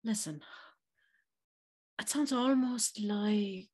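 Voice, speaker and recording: An older housekeeper recounts an eerie past in an audio drama. Her voice sits at a middle pitch and moves very slowly, with a hushed, reflective manner. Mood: reassuring, uneasy. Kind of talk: dramatic first-person storytelling in audio drama.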